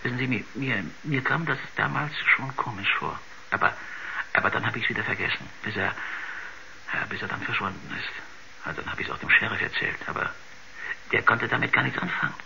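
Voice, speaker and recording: An actor playing a rambling, uneasy village shopkeeper who stammers and repeats himself in a 1970s radio drama. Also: male voice, medium pitch, brisk, gravelly, sharp tone. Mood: uneasy, puzzled. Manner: hesitant, stammering, repetitive, rambling. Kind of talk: 1970s science-fiction radio drama acting. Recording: dull, noisy 1970s radio recording.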